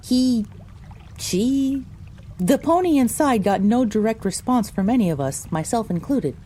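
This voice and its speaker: A woman's voice: a little high, animated, a little slow, warm tone, a little hiss or hum. A woman voicing a pony who narrates her own story, reading scripted first-person audio drama narration. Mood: reflective.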